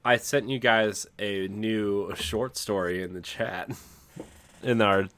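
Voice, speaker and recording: Male podcast co-host, unhurried and halting, slightly low voice, casual, dry close recording. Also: steady tone, a bit bright, no echo.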